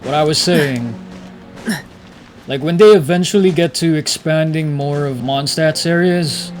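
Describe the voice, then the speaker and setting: A man's voice: a little high, unhurried. A man streaming a video game, giving laid-back, slangy reaction commentary over game music.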